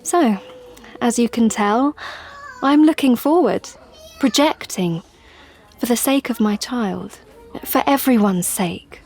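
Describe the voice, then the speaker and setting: A woman's voice: fairly high pitch, takes it slowly, darkish tone. An actress playing a regretful, earnest young mother confessing in an audio play monologue. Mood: earnest, reflective. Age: young adult.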